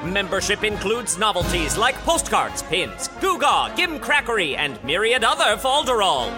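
Animated, showy radio pitchman. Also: normal speed, music in the background. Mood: excited hawking. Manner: booming, exclamatory, showy. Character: excitable, hawking retro-futurist radio pitchman. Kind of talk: 1930s radio advertisement.

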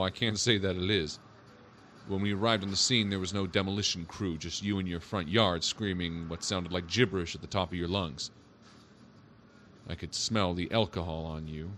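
A man's voice: low-pitched, fairly bright tone, a little hiss or hum. An actor playing a patient, bemused male police officer in a naturalistic audio drama, questioning in a conversational, hesitant way.

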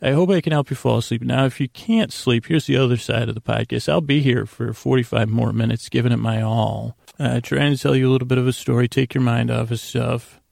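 A man with a heavily gravelly, creaky voice at an ordinary pitch, recorded close and dry. He hosts a sleep podcast, wandering through whimsical asides and often breaking off to restart his thought. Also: fairly even intonation, darkish tone, dry recording. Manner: meandering, halting, self-interrupting, whimsical. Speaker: podcast host. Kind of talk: rambling bedtime sleep podcast monologue.

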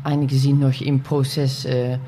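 Niederländische Frau, stockendes Deutsch. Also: female voice, rumbling low, little pitch movement, warm tone, a little hiss or hum. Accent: Dutch. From Netherlands. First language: Dutch. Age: 40s. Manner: halting, searching for German words, many fillers, laughs easily. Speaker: podcast guest. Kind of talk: casual interview chat.

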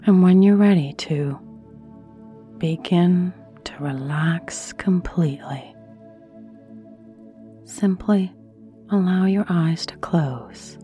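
A woman narrating a guided sleep meditation, soothing, with long pauses and phrases that trail into near-whispers. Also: fairly low pitch, mellow, soft background music.

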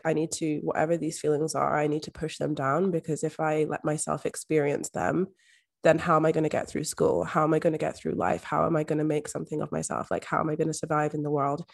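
A young woman from Scotland with a soft Scottish accent, a podcast guest speaking openly and reflectively in a casual interview. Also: low voice, creaky. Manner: open, reflective, uses "like" often. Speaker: podcast guest, mindset coach.

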